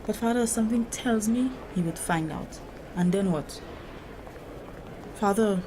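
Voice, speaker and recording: A woman's voice: mid-range voice, unhurried, close to an everyday voice. A woman acting as a hurt, troubled grown daughter in a drama, speaking earnestly and confidingly about feeling trapped. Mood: frustrated. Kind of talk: dramatic acted dialogue. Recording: noisy location sound with steady ambience.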